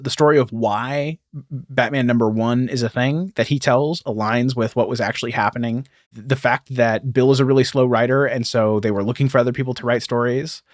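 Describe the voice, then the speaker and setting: Male podcast co-host, mid-pitched, explanatory and conversational, self-correcting, very dry sound. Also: dry recording. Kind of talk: casual explanatory chat with some reading aloud.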